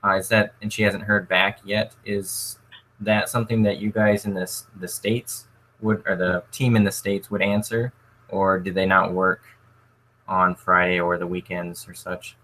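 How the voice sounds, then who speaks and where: A man moderating a casual livestream Q&A, relaxed and thinking aloud as he passes on viewers' questions. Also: deep voice, a little slow, low-quality recording.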